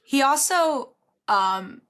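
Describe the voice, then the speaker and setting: Animated, bright, higher-pitched woman podcaster. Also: extremely slow. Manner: animated, informal, emphatic. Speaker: podcast co-host. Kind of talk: casual album review chat.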